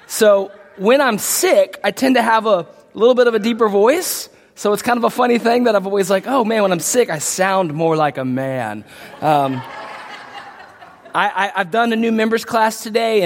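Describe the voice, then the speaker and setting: A man preaching a verse-by-verse sermon, his voice a little lower from illness, earnest with frequent restarts and rising emphasis. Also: moderate pace, big-room sound. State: sick, voice deeper than usual. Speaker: pastor.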